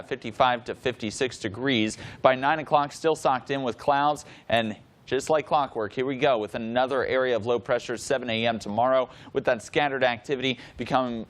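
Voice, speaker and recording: A man giving a local TV weather report at an even, mid-range pitch. His delivery is steady and clear, moving through places, times and temperatures in a practiced broadcast manner. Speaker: TV weather forecaster.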